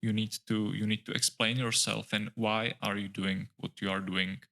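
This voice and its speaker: A middle-aged man speaks English in a low, level voice with a bright, forward edge. He talks in a considered, halting way, stopping often to search for the next word. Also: level tone, vocal fry. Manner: thoughtful, halting, with many "uh" pauses and restarts. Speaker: architect guest. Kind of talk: casual interview chat.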